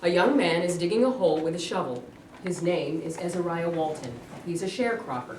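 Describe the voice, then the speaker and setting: A woman's voice: on the low side, fairly quick, a little mellow. A woman reading stage directions as scripted narration at a staged reading. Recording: echoey room, distant mic, faint noise.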